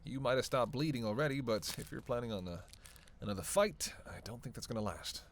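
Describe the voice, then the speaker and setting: Guy acting as a flirty sarcastic male vampire, casual teasing banter, sweary, roleplay audio, mid-pitched voice. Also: animated, a little fast, creaky, slight background noise. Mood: dry, practical. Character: flirty, sardonic male vampire on a road trip. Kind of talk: roleplay audio drama monologue.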